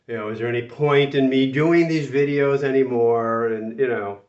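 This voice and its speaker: A man's voice: mid-range voice, normal speed, darkish tone. An older man in his sixties talks straight to the camera, slightly nasal from a cold and plainly exasperated, hammering his points with repetition. He sits a few feet from the mic in a small room, so the sound is roomy, distant and a little dull. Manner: exasperated, emphatic, repeats words for stress. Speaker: retirement channel host. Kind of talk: talking-to-camera commentary. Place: small room with a whiteboard, indoors.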